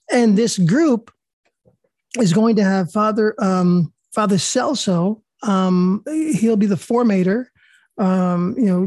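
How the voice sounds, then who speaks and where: A woman hosting an online prayer and reading group, reciting prayers calmly and then chatting with many fillers and restarts over a video call. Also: medium pitch, no echo. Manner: calm and measured, with many fillers and restarts in chat. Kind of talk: leading prayers, then casual group chat.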